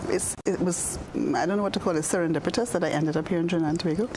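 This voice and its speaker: A woman in her sixties from the Bahamas, a guest doctor telling her story in a studio interview, unhurried, with many fillers and restarts. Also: fairly low pitch, noisy background. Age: 60s. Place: television studio.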